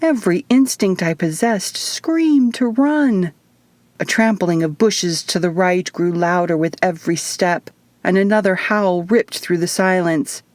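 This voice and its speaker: Female audiobook narrator, fairly low voice. Measured, expressive first-person storytelling, dry recording. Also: no room sound. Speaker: author reading own audiobook.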